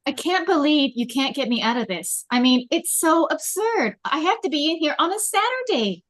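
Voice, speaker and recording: A woman's voice: sits high, expressive, normal speed, a bit bright. A woman playing a spoiled, indignant teenage prom queen in a film script table read.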